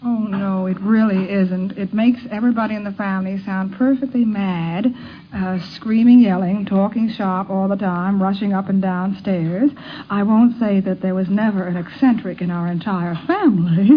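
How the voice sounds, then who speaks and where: Emphatic woman reminiscing, thin vintage recording. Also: medium pitch. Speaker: interviewee recalling family life. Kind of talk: conversational reminiscence. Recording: tinny, faintly noisy 1950s radio recording.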